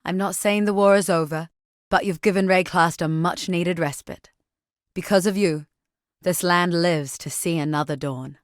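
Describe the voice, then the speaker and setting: Low-voiced proud warrior woman played by an actress. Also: steady tone, normal speed, no echo, studio quality, natural voice. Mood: solemn, honoring. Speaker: game character voice. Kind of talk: scripted game dialogue.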